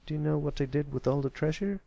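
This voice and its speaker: A man's voice: a little high, fast talker, vocal fry, mellow, no echo, muffled recording, pitched above the performer's own voice. A man plays a pony storyteller spinning a heroic tale. The voice is lifted a little above his own, earnest and dramatic, and recorded dry.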